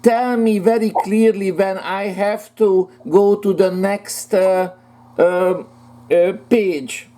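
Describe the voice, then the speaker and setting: Slow, halting man hosting a lecture. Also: sits high, fairly even intonation, dark tone. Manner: halting, many fillers and restarts. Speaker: lecture series host. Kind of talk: introducing a speaker.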